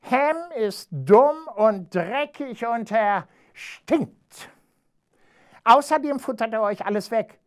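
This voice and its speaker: A storyteller voicing a spiteful, scheming female cat in a children's storybook, with a sneering, drawn-out delivery. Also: mid-range voice, expressive, voice pushed up. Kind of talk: storybook character voice.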